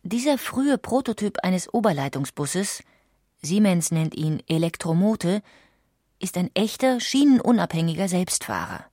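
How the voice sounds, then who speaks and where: Low-pitched female documentary narrator. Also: very animated, unhurried, warm tone, no echo, studio quality.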